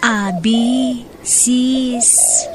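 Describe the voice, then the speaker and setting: An actress playing a brazen, falsely sweet mistress in an Ilocano radio drama, with an Ilocano accent. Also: female voice, a little high, very animated, extremely slow, vocal fry, background noise, exaggerated voice.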